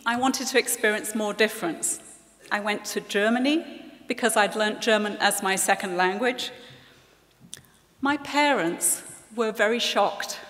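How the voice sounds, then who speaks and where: Woman, 60s, earnest ceremony speaker; unhurried delivery, reverberant hall. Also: a little high, a bit bright. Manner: measured, earnest, addresses the students directly. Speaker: guest speaker at a leavers' ceremony. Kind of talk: formal ceremony speech. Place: large hall. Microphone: lectern microphone.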